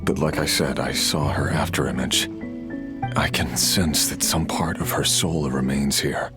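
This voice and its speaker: A resurrected warrior corroded by darkness speaks from the very bottom of the vocal range. The delivery is slow and grave, weighted with weary remorse and halting pauses. It is fantasy game drama, with music clearly playing behind. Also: male voice, very deep voice, steady tone. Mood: solemn, pleading. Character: resurrected male abyss-corroded warrior, sombre, weary, remorseful former villain. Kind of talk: dramatic video game dialogue.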